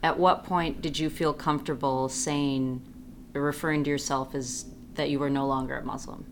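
A woman's voice: a bass voice, fairly even intonation, moderate pace, noisy background. A woman interviewing a guest, asking short, curious follow-up questions. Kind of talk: conversational interview.

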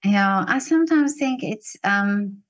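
A woman in her sixties with a South African accent, talking reflectively and haltingly as she searches for her phrasing. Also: medium pitch, animated. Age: 60s. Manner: hesitant, searching phrasing with repeats. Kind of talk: reflective casual conversation.

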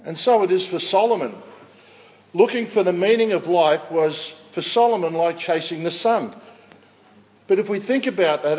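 Man preacher, loud and measured, slightly high voice, dull echoing church recording. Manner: measured preaching with occasional fillers and self-corrections; says he has a loud voice. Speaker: pastor. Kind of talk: expository sermon.

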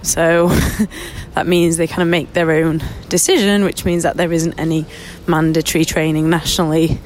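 A woman's voice: low voice, flat delivery, moderate pace, a little hiss or hum. Stuffy-nosed woman narrating. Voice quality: nasal, congested. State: has a cold, bunged up and sniffly. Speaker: documentary narrator. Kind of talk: reflective voice-over narration.

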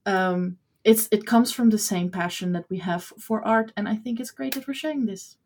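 A woman co-hosting a casual podcast, bubbly and encouraging, quick to laugh. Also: medium pitch, darkish tone, a little hiss or hum. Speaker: podcast co-host. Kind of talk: casual chat.

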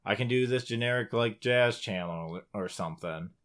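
A man's voice: fairly low pitch, normal speed, a bit bright. Male podcast co-host, informal and sweary, casual gaming chat between friends. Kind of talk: casual chat about video games.

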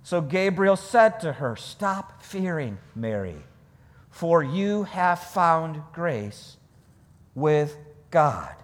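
A man delivers a sermon in a high voice, taking his time over each phrase. His delivery is deliberate and emphatic, repeating key words for weight. The sound carries the long reverberation of a large hall. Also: very animated. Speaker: preacher. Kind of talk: sermon with scripture reading.

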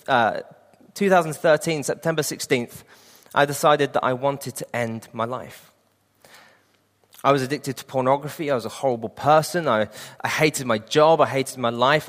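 A man's voice: mid-range voice, moderate pace, a bit bright. Preacher in his twenties, echoing hall. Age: 20s. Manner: earnest personal testimony. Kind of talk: sermon.

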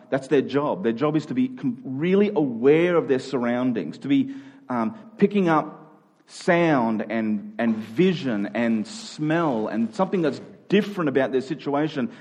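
A man's voice: mid-range voice, darkish tone. Conversational, earnest male preacher. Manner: earnest, self-deprecating, thinks aloud with repeated phrases. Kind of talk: conversational sermon.

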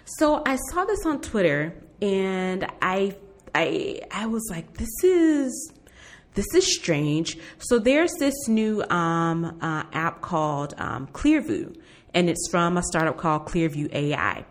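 Podcast co-host: woman, lowish pitch, very lively intonation, playful and informal. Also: takes it slowly. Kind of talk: casual chat.

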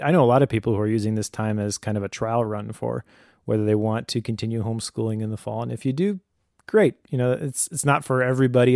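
A man co-hosting a conversational advice podcast in a measured, reflective way. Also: medium pitch, warm tone, dry recording, studio quality. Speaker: podcast co-host.

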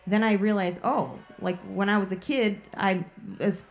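A woman's voice: medium pitch, fairly even intonation, a little slow, soft background music. A woman blues guitarist and singer from Chicago, chatting in an interview and filling her talk with "like" and "you know.". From Chicago, USA. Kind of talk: casual interview chat.

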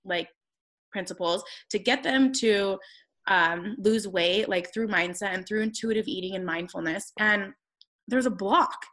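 Woman around thirty, podcast host and coach, earnest and rambling, middle pitch. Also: a bit bright. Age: 30s. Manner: earnest, rambling, many fillers and restarts. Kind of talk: casual podcast conversation.

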